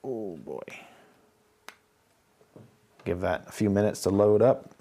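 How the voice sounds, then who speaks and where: A man's voice: fairly low pitch, slow pace, dark tone, a little hiss or hum. A man hosting a casual live stream, thinking aloud as he works and chatting easily with viewers. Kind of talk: casual live stream chat while working.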